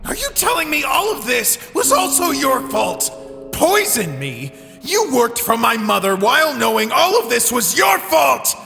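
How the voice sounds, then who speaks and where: A man plays an outraged young prince in a comic drama, hot-tempered and accusing. His sharp voice swings widely in pitch and rings with long reverb. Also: huge pitch swings, unhurried, vocal fry, heavily acted. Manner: forceful, accusing outbursts. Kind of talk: dramatic comic dub acting. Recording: long hall reverb, music behind.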